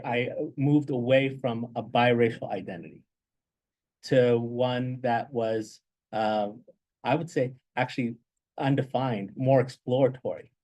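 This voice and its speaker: A man giving reflective advice as a guest speaker, in a halting way that repeats words as he thinks. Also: medium pitch, darkish tone. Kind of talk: reflective advice in an informal talk.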